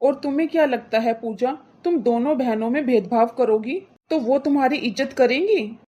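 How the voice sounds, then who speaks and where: Woman voicing an indignant brother, high mellow voice. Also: moderate pace. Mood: indignant, reproachful. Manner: reproachful, insistent, questioning. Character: indignant protective brother scolding his wife. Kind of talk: cartoon moral story dialogue.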